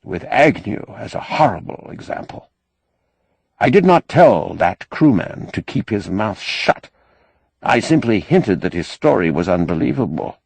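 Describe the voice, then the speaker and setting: A man's voice: fairly low pitch, creaky, breathy edge, no room sound, heavily acted. A man voicing an ageing, weary but commanding spaceship captain in an audiobook.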